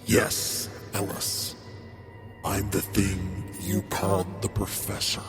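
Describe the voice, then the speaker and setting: A man voicing a calm, knowing otherworldly spirit in a horror story, speaking in a slow, deliberate way. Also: deep voice, pitched below the performer's own voice. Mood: calm, ominous. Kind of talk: scripted horror story dialogue. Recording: loud music behind the voice.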